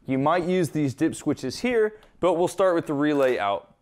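A man with a fairly high, clear voice walks through a technical tutorial. He explains each step informally and conversationally, like a hands-on instructor. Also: animated, normal speed, a little mellow. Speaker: instructor presenting an equipment tutorial.

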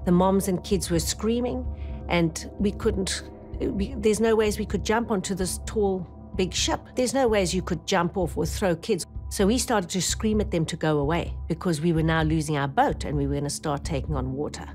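A middle-aged woman speaks in a slightly low register as a documentary interviewee. She retells a survival ordeal in a vivid, urgent way, often cutting herself off and starting over. Faint dramatic music plays beneath her voice. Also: moderate pace, darkish tone. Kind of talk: documentary testimony. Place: dark indoor interview studio.

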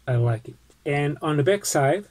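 A man in his forties with a Portuguese accent, showing his postcards on a webcam in a book-lined home study, slow and halting with frequent restarts. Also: fairly high pitch, expressive, a little mellow. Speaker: postcard collecting vlogger. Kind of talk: casual show-and-tell vlog.

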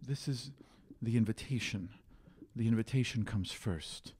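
A man's voice: mid-range voice, measured pace, a little mellow, slightly rough. A man voicing a grieving, loving and protective mother in a tabletop game, with a trembling delivery. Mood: grave.

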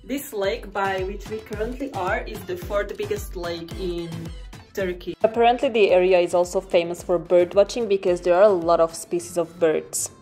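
A young woman from Slovakia, a native Slovak speaker, calmly explaining sights in a travel vlog, filmed on a phone at arm's length. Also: medium pitch, normal speed, soft background music. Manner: calm, explanatory, turns soft and reflective. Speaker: vlogger. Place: apartment rooms and outdoor town overlook. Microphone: phone camera at arm's length.

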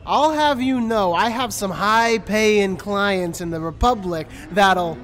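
High-pitched sleazy street peddler played by a man. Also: takes it slowly, a bit bright, a little hiss or hum. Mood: wheedling. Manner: wheedling, cajoling. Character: sleazy, wheedling male street drug peddler. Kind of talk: dramatic audio drama acting.

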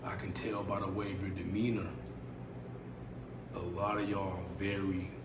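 A raspy-voiced man in his thirties hosting his own podcast, talking in a laid-back, playful way and repeating his phrases, seated indoors and recorded on a camera mic at mid distance. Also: deep voice, moderate pace. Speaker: podcast host. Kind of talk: casual solo podcast monologue. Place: small room indoors, seated. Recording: echoey room with steady background hiss.

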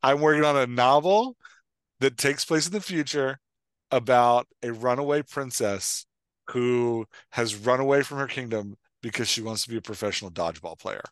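A man's voice: medium pitch, normal speed, smooth, dry recording. A man in his forties chatting casually as he explains things, thinking aloud and restarting his sentences on a headset mic. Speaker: podcast host and writing coach. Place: indoor room on a video call.

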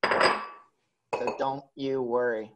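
A man's voice: medium pitch, level tone, extremely slow, heavy background noise. A man hosting an online event, casually putting questions and chatting with his guest. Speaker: host of a virtual fan event. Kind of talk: casual interviewing and chat. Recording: dull, lo-fi call audio.

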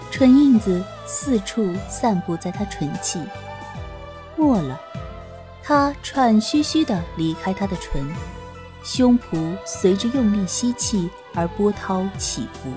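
A woman reads a romance story slowly, with a soft, lilting delivery. Her pitch rises and falls lively, and faint background music plays under her voice. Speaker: audiobook narrator. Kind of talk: scripted romance narration.

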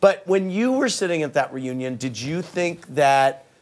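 A man in his forties, a talk show host, chatting candidly in a television studio with quick restarts. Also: fairly high pitch, lively intonation, darkish tone. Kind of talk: casual interview chat. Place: TV studio set.

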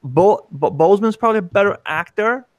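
A man's voice: high voice, expressive, brisk. A middle-aged man co-hosting a casual movie-ranking chat. He gives firm opinions and steers the talk from one pick to the next. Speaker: co-host. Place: room at home with screen panels. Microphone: large studio mic with headphones.

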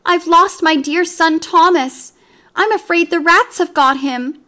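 A woman's voice: extremely high pitch, measured pace, sharp tone, pitched above the performer's own voice, full character voice. A woman voicing a fretful mother cat who talks tearfully and pleads in distress.

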